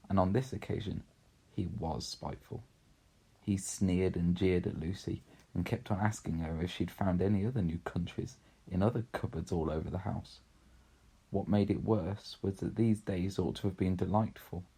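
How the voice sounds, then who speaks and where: A man's voice: low voice, moderate pace, gravelly, mellow, slightly rough. A man narrating a children's storybook. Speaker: story reader.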